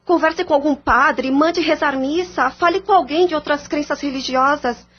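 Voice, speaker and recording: Scared, insistent Brazilian daughter played by a woman, very high voice, audio drama, muffled recording. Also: moderate pace, natural voice. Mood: frightened, insistent. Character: frightened, insistent young daughter, a student. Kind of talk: audio-novel acting, formal scripted dialogue.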